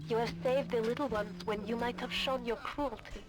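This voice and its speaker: A woman's voice: a little high, fairly even intonation, lightly acted, low-quality recording. An actress playing a solemn, grateful female guardian of children in a video game, speaking formally. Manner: formal, measured.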